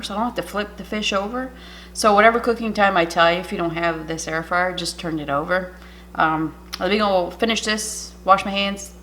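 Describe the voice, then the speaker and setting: A woman's voice: medium pitch, a bit bright, slight background noise. A woman whose first language is French, a cooking YouTuber chatting and rambling through an air-fryer demo in her home kitchen. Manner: chatty, rambling, self-interrupting.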